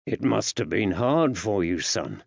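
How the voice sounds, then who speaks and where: Man playing a kindly, slow-speaking questioner. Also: on the low side, animated, creaky, slightly rough, dry recording, muffled recording, exaggerated voice. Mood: softly probing. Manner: gentle, coaxing, old-fashioned working-class phrasing. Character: kindly, gently probing man questioning a child.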